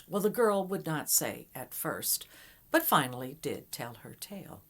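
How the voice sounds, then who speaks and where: A woman telling a folktale aloud in an expressive, dramatic way with deliberate pauses. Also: low voice, breathy edge. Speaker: storyteller. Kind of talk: oral folktale storytelling, dramatic.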